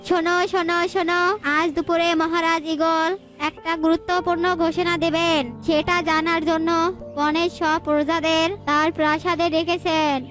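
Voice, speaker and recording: A woman's voice: very high-pitched, little pitch movement, normal speed, fairly bright tone, faint music behind. A woman voicing a loyal bird commander in a cartoon, deferential and proclaiming. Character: loyal bird army commander and royal crier.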